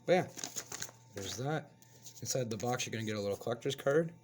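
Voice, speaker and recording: A man with a hoarse voice from a cold, hosting a casual toy review and chatting as he unboxes. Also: medium pitch, fairly quick, background noise. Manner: relaxed, chatty, explains as he handles the toy. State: hoarse, getting over a cold. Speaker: toy reviewer, channel host.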